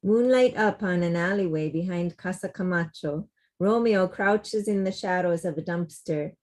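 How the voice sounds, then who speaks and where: A woman's voice: fairly low pitch, moderate pace, darkish tone. A woman reading scripted stage directions as narrator of a table read over a video call.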